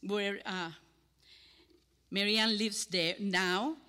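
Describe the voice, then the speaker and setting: An older woman from Cuba with a Cuban Spanish accent, giving an earnest sermon to a congregation and pausing between phrases. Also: medium pitch, steady tone, bright, forceful tone, clear, resonant tone. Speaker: guest speaker. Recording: hall with long reverb.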